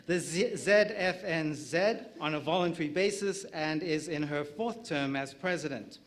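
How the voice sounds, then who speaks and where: A middle-aged South African man runs a conference panel in a slightly higher voice than most men. He reads out guest introductions, stumbling now and then and dropping in a light joke. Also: normal speed. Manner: reads introductions with small slips and light jokes. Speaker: panel moderator.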